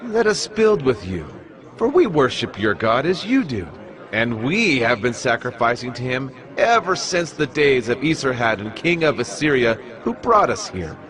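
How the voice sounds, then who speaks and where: A man's voice: medium pitch, very animated, moderate pace, a crowd in the background, close to an everyday voice. A man acting a persuasive adversary of ancient Judah in a dramatized audio Bible, speaking formally. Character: male adversary of Judah making persuasive offer.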